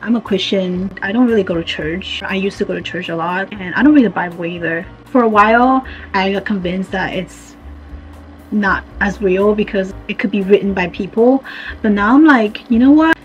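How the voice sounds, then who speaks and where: A woman in her twenties, raised in China with Chinese as her first language, chats in a casual video-diary style. Her voice sits at a middling pitch. She speaks informally and confidingly, with music playing behind her. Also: moderate pace, muffled recording. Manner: informal, frequent "like" and "you know". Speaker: vlogger.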